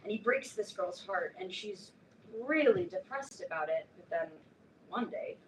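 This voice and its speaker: A woman in a staged reading playing an opinionated, excitable teenage fan club girl, chatty and emphatic. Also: mid-range voice, a little slow, lightly acted. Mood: earnest, worried. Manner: chatty, emphatic, peppered with like and literally. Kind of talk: staged reading of a play, naturalistic dialogue. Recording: roomy, distant mic, faint noise.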